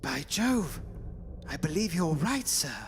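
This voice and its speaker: A man playing an earnest, anxious young gentleman in a radio drama, with old-fashioned politeness. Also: fairly high pitch, sing-song, slow pace, heavily acted. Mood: convinced. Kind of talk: old-time radio horror drama acting. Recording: stage recording with faint hiss.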